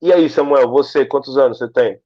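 A man of forty chatting casually as host, loudly exclaiming and steering the conversation. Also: medium pitch, brisk, lo-fi sound. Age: 40s. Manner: animated, exclaiming, steering the talk. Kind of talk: casual chat.

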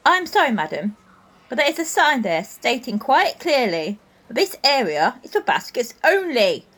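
An actress playing a formal, firm supermarket worker enforcing the rules. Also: female voice, a little high, big pitch swings, normal speed, fairly bright tone, slightly rough, exaggerated voice. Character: prim officious female supermarket checkout worker.

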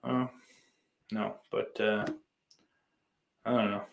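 A man's voice: fairly low pitch, flat delivery, slow pace, vocal fry, slight background noise. A man livestreaming in casual chat, rambling and swearing freely while reading out messages from his viewers. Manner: profane, rambling, self-deprecating. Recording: roomy echo.

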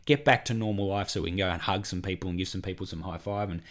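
A hoarse Australian man with a croaky voice from a cough, hosting a solo podcast as a weight-loss coach and talking in an encouraging, repetitive, chatty way. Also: low-pitched, steady tone, fast talker.

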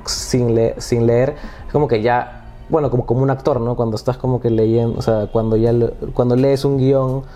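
A man's voice: mid-range voice, steady tone, normal speed, dark tone, soft background music. A man hosting a casual talk about poetry, speaking hesitantly with frequent restarts and tag questions. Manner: hesitant, many restarts and "¿no?" tags. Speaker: host.

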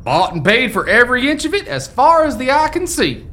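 A man's voice: very high voice, wildly swooping pitch, brisk, bright, forceful tone, a little hiss or hum, heavily acted. A man playing a blustering, possessive old American rancher's ghost in a radio play, talking in folksy frontier style. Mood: indignant, possessive.

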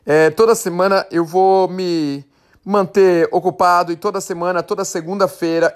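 Brazilian podcast host, thirties, male, speaks a bit high, loose and self-correcting. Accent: Brazilian Portuguese. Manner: chatty, rambling, self-correcting. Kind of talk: casual solo podcast talk.

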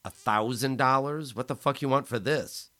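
A man voicing a young male hustler, his voice pushed high, strained and pleading. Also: normal speed, bright, forceful tone, close to an everyday voice. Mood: angry.

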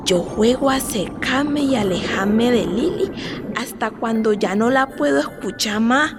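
Radio play: actress as wistful eight-year-old girl, childlike and playful, high voice, music clearly underneath. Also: measured pace, lightly acted. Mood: playful, dreamy. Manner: childlike, simple and repetitive. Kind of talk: radio drama monologue. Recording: music behind the voice.

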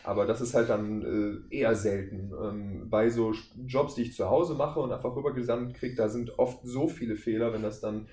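A man's voice: medium pitch, steady tone, normal speed, hardly any pauses, dark tone. A man speaking as a podcast guest, explaining things casually and at length in a discursive, circling way. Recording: echoey room, faint background noise.

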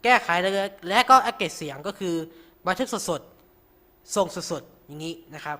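A man's voice: high-pitched, animated, unhurried, sharp tone. A man hosting an informal tutorial, talking through each step with frequent polite particles. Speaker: radio show host.

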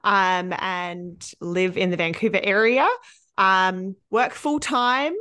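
A woman in her thirties with an Australian accent, a podcast guest telling animated stories and laughing between phrases. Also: mid-range voice, takes it slowly, clean tone. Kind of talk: casual interview chat.

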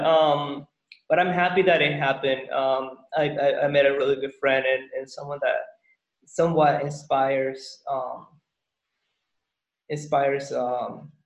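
Podcast host man in an echoey room. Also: medium pitch. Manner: rambling, repeats words. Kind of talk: casual interview chat. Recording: echoey, dull-sounding room.

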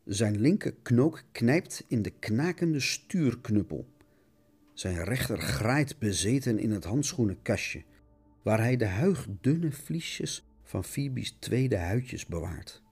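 A man reads a scripted radio essay as a theatrical narrator. His pitch is very animated and his delivery is declamatory and mock-dramatic. Music plays behind him. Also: slow, breathy edge.

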